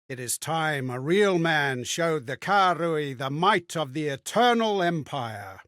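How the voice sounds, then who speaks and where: A man playing an anxious, amnesiac wanderer in a video game, delivering theatrical monologues in a measured, dramatic, husky voice full of wonder. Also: a little high, fairly bright tone, dry recording, clean studio sound. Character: anxious, amnesiac male wanderer reliving strangers' memories.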